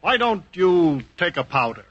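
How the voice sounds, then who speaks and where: A man's voice: high voice, big pitch swings, measured pace, a bit bright, slightly rough, lo-fi sound, exaggerated voice. A man playing an exasperated, mock-solemn father lecturing his son in a 1940s radio comedy sketch. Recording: faintly noisy 1940s radio recording.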